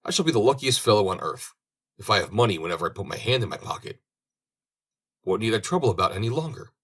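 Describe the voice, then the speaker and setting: A man reading as a naive young storybook hero with a lively, swinging pitch. He is cheerful, trusting and easily delighted. His earnest exclamations come in a slightly husky voice. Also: fast talker, exaggerated voice. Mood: eager.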